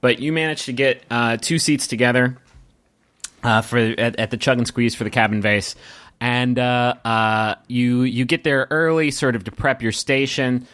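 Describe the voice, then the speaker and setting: A man improvising narration as game master of a tabletop roleplaying game, halting and rewording as he goes. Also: medium pitch, steady tone, fairly bright tone, clear, resonant tone, dry recording.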